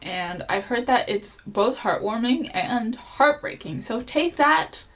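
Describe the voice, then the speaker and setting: A woman's voice: fairly high pitch, lively intonation, takes it slowly, fairly bright tone. A woman book vlogger chatting casually to camera at home, playful, with lots of fillers and jokey asides. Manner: chatty and playful, many "like" and "you know" fillers.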